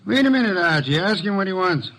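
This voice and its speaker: An actor playing a ponderous, imperious detective in an old radio drama, dry, formal and deliberate in his questioning. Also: high voice, lively intonation, fairly bright tone, rough recording. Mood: dry, commanding. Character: ponderous, imperious, brilliant bulky private detective. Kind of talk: 1940s-50s radio detective drama acting.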